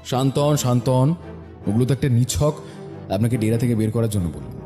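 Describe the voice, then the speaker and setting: A man playing a shrewd, confident detective with a brisk, commanding manner in an audio drama. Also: medium pitch, mellow, faint music behind, close to an everyday voice. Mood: calming, probing. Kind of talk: audio story acting.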